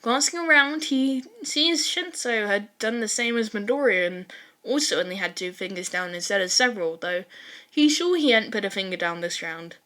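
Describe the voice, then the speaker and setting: A woman narrating fan fiction in an expressive amateur audiobook style, with animated dramatic asides. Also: mid-range voice, moderate pace. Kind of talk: expressive amateur audiobook reading of a story, with dramatized character lines.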